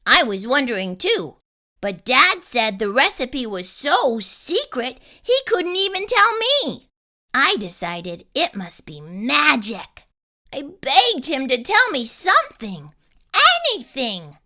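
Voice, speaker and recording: A woman reading a children's picture book as an earnest young boy telling his story, in an expressive, drawn-out manner. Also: high-pitched, heavily acted. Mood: curious.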